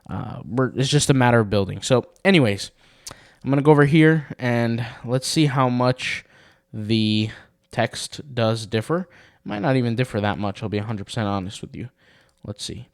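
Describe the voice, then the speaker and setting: A man talks through a screen-recorded website tutorial in a casual, meandering way, full of restarts and fillers. His voice is a touch raspy and sits at an ordinary middle pitch. The recording is dry and close, with no room sound. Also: normal speed, dry recording. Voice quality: slightly raspy. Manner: rambling, lots of fillers and restarts. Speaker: blogging tutor.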